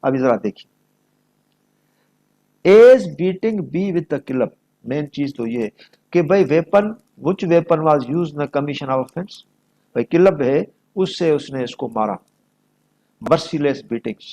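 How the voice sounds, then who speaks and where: A male lecturer with a Pakistani accent speaks in a fairly high voice at a very slow pace. He explains step by step in a didactic, emphatic way, moving between reading aloud and explaining, and repeats important phrases. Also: animated, warm tone. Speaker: law lecturer.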